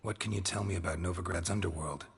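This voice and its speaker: An actor with an American accent playing a gruff, stoic monster hunter in a video game, terse and deadpan. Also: male voice, deep voice, fast, slight background noise, lightly acted. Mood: flat, curious. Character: gruff, stoic monster hunter, grown man, dryly unflappable.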